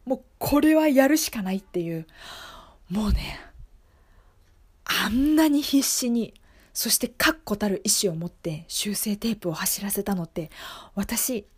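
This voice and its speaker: A woman performs a flustered young woman in an improvised radio drama. Her voice is high and slightly breathy, and she confides anxiously, repeating herself with urgency and sighing. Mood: frantic, determined. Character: flustered woman anxiously recalling a graduation exam.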